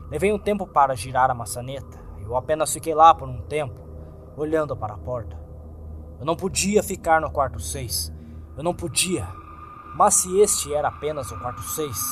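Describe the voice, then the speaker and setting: Male Brazilian Portuguese horror narrator, slightly high register, very animated scripted delivery over faint music. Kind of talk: dramatic scripted narration of a first-person horror story. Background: soft background music.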